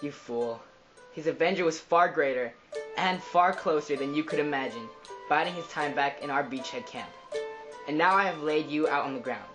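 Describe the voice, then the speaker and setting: A vengeful young warrior of Greek myth, acted by a man, pitched slightly high for a male. He is merciless, in an amateur puppet-show style, with prominent music behind him. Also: normal speed, natural voice. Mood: gloating, vengeful.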